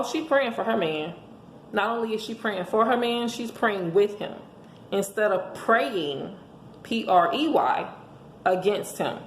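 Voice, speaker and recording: A hoarse woman giving an emphatic, preachy motivational monologue, speaking as a host handing out relationship advice to listeners. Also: mid-range voice. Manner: emphatic, preachy, rhetorical questions. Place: indoors at home. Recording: echoey room with faint background noise.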